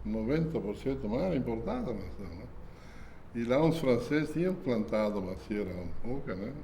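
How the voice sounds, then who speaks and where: A man's voice: medium pitch, animated, moderate pace, creaky. Older guy w/ rambling thru old stories, lots of né, medium voice, bit of faint noise behind. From southern Brazil. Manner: folksy and rambling, constant "né?" tags and soft chuckles. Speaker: company founder being interviewed. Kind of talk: casual reminiscing interview.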